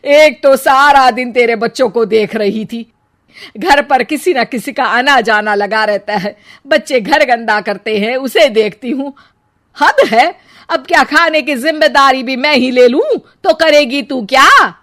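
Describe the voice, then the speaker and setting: A woman voicing a sharp-tongued older mother-in-law in a cartoon story, loud and emphatic. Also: high voice, unhurried, pitched above the performer's own voice. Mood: angry, indignant.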